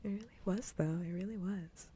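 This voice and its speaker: A woman livestreaming casual ASMR chat in a soft, breathy whisper, rambling close to the microphone. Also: medium pitch, moderate pace, a little hiss or hum. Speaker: livestream host.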